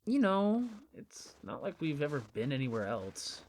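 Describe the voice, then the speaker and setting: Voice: medium pitch, very animated, normal speed, slight background noise, lightly acted. An actor playing an earnest, easily flustered young friend in a naturalistic audio drama. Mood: speculative. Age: young adult. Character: earnest, defensive young friend in a strange town.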